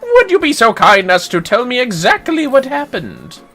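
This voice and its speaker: A woman's voice: on the high side, big pitch swings, moderate pace, a bit bright, faint music behind. A woman voicing a pompous, chivalrous amateur detective with grandiose, archaic, florid speech. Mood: gallant.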